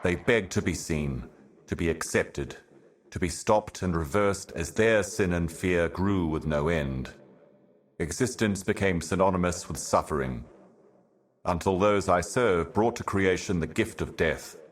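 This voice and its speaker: A man voicing an ancient, otherworldly envoy in a video game, delivering cryptic monologues in a solemn, measured, portentous manner. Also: low-pitched. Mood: solemn, ominous. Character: ancient cosmic envoy, solemn otherworldly prophet serving higher powers.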